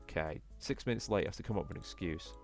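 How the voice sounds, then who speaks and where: A man's voice: low voice, fast, soft background music. A man reading a visual novel aloud as a let's play host, stumbling over the text and breaking in with blunt, indignant asides.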